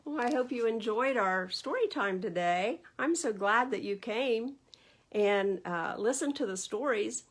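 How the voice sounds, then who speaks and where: A woman's voice: fairly high pitch, a little slow. A woman with an American accent hosting a storytime for young children, reading a picture book aloud and putting questions to her listeners in a teacherly way. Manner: animated teacherly asides, asking the listeners questions.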